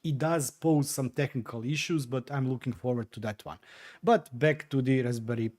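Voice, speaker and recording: A man's voice: mid-range voice, moderate pace, a little mellow. Chatty man streaming from home. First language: Croatian. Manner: hesitant, chatty, many fillers and restarts while tinkering. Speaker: livestream host. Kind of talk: casual livestream chat, answering viewer comments.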